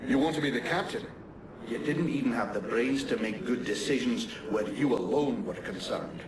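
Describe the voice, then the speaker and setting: An actor playing a stern, scornful mercenary in a game cutscene, blunt and reproachful. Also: male voice, medium pitch, normal speed, lightly acted. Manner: accusing, reproachful. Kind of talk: dramatic game cutscene acting. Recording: echoey, distant, noisy ambience.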